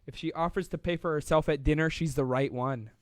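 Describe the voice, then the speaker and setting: A man's voice: fairly high pitch, brisk. Playful young man hosting a live stream. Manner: rambling, playful, laughs often, reads out viewer comments. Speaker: host of a live online show. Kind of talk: casual live-stream chat.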